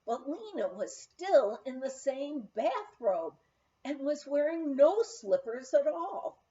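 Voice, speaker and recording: A woman narrates a memoir in a high, lively voice that swings with the story. Her delivery is expressive and unhurried, with a soft, mellow tone. The recording is a little dull and lo-fi. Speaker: audiobook reader. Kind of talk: scripted narration of a humorous memoir.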